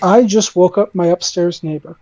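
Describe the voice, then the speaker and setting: Loud-voiced woman on a dull call line. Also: on the low side, moderate pace, dry recording. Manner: hesitant, trailing off with fillers. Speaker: podcast guest. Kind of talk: casual chat.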